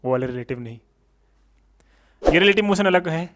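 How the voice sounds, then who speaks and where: A man teaches an exam coaching class in a high-pitched voice. He turns each point into a question and repeats it, often checking that students follow. English technical terms are mixed into his explanations. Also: lively intonation, moderate pace, background noise. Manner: switches between Hindi and English technical terms. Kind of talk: exam coaching lecture at a board.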